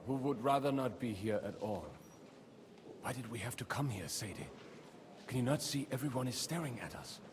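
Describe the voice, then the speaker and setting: Male actor as sullen rabbi's aide, uneasy, grumbling, mid-pitched, video game dialogue, clear background ambience. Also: expressive, normal speed, noisy background, lightly acted.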